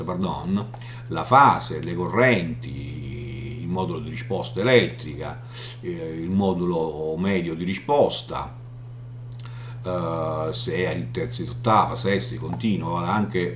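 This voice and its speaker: A man giving an informal software walkthrough, explaining haltingly and often checking in as he goes. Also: low-pitched. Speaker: software tutorial presenter. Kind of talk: informal screen demo walkthrough. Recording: roomy echo, audible background hum noise.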